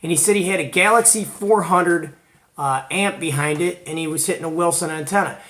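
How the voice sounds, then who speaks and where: A man's voice: fairly high pitch, a little fast, fairly bright tone. A man from Georgia hosting a hobby show and tell, chatting casually and informally with plenty of slang. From Georgia, United States. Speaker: host of a CB radio hobby show.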